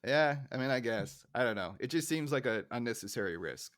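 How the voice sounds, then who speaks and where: Guy chatting on a podcast, kinda self-deprecating, middling pitch, recapping cartoons. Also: lively intonation, a little fast, a bit bright. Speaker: podcast co-host. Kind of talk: casual podcast chat, recapping a cartoon episode.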